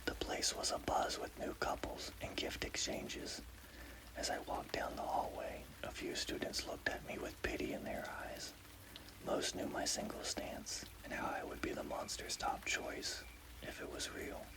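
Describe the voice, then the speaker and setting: A man narrating a scary story as ASMR in a breathy, unvoiced whisper. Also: measured pace.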